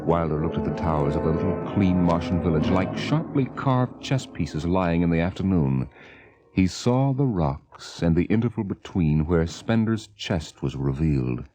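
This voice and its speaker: A man narrating a 1950s radio drama as host, with measured, dramatic, literary delivery. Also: sits low, animated, mellow. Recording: vintage 1950 radio recording. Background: music.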